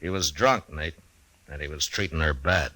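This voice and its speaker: An actor playing a stern, steady frontier marshal, measured and terse, in a 1950s western radio drama. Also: male voice, a bass voice, no echo, exaggerated voice, low-quality recording. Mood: firm. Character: stern, steady frontier marshal who narrates his tale.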